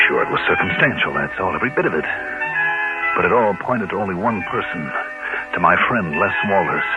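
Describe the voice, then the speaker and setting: Brooding low-voiced hard-boiled investigator. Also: male voice. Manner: terse, brooding, dramatic self-questioning. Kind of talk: 1950s radio drama acting with first-person narration. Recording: muffled old radio recording with music.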